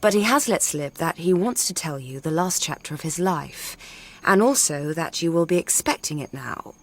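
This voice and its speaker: A woman's voice: sits low, animated, normal speed. A woman playing an affectionate, playful young schoolteacher, voicing a letter to her lover in a chatty, intimate radio drama manner.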